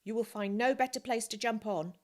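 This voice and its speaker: A woman's voice: on the high side, a little fast, pitched above the performer's own voice, natural voice. A woman voicing a small, cheeky, secretive orphan boy in a storybook reading, speaking in short matter-of-fact phrases. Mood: confident.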